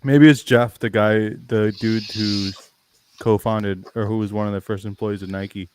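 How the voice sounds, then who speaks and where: A man's voice: lowish voice, steady tone, measured pace, a little mellow, slight background noise. A man hosting a sneaker livestream, chatting casually, swearing freely, and reading viewer comments aloud. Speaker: livestream host.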